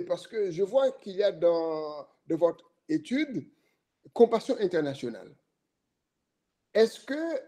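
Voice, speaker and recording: A middle-aged man, speaking as a jury member at a thesis defense in a deliberate, questioning, professorial way. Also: high voice, mellow. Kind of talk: academic remarks at a thesis defense.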